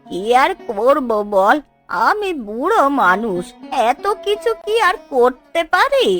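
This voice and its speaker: A woman voicing a touchy, nagging old village aunt in a cartoon, with folksy, drawn-out scolding. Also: high voice, big pitch swings, a bit bright, faint music behind, full character voice. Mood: fussy. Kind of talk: Bengali cartoon dubbing.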